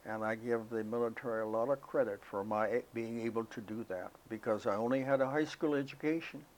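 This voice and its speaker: An elderly American man recalling his life as a veteran in an interview, speaking in a halting, reflective, unhurried way. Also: medium pitch, a little mellow, slight background noise, low-quality recording. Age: elderly, 80s or older. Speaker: interviewee, war veteran recalling military service. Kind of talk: oral history interview, personal recollection.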